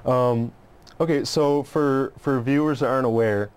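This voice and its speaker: A man's voice: mid-range voice, steady tone, normal speed, darkish tone, clear, resonant tone. A man hosting a talk show, asking wordy, rambling questions in a conversational interview style.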